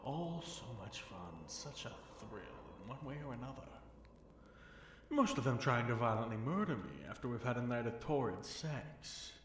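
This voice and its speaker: A man playing an obsessive, seductive fallen dragon king held captive, speaking in a slow, teasing, theatrical purr for an intimate ASMR roleplay. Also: mid-range voice, expressive, natural voice. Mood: wistful, sultry. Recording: roomy echo with faint noise.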